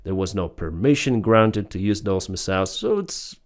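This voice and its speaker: A man's voice: deep voice, expressive, moderate pace. Blunt Ukrainian-accented man. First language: Russian. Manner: blunt, plain-spoken, addresses viewers as guys. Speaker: military commentator. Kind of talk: solo commentary to viewers.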